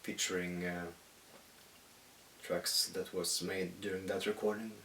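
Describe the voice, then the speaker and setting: A Swedish man, speaking English as a second language, who talks about his music in a halting, thoughtful way with many pauses. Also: sits low, flat delivery, sharp tone. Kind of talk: reflective solo monologue. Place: at home in the countryside. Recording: echoey room, distant mic, faint hiss.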